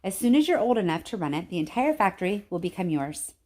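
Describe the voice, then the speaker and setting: A woman's voice: on the low side, sing-song, fast, dark tone. A woman voicing an eccentric, eager old male factory owner with an emphatic, cheerful, insistent way of talking in a storybook reading. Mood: pleased, generous. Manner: emphatic, exclamatory.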